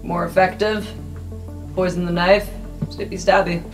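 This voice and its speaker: A woman's voice: on the low side, level tone, a little slow, distant mic. Casual woman player in a roleplay game. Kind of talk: casual in-character improvised chat. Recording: music behind the voice.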